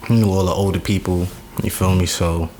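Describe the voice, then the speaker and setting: A young man with a New York accent, a podcast guest telling stories in a relaxed, slangy way. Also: low-pitched, fairly quick, a little hiss or hum. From Staten Island, New York. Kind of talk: casual interview chat.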